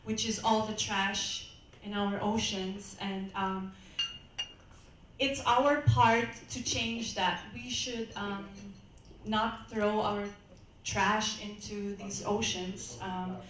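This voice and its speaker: A woman's voice: medium pitch, level tone, slight background noise. Woman with a voice in an echoey hall. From Austria. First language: German. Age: 20s. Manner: halting, careful answers. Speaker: pageant contestant. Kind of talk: answering judges' questions. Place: hotel event hall. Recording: echoey hall, distant mic.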